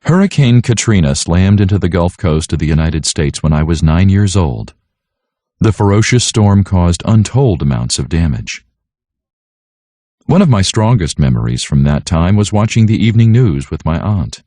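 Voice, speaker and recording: A man's voice: low-pitched, animated, moderate pace, warm tone, no echo, clean studio sound. A man reading a children's story aloud as a scripted narration. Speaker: audiobook narrator.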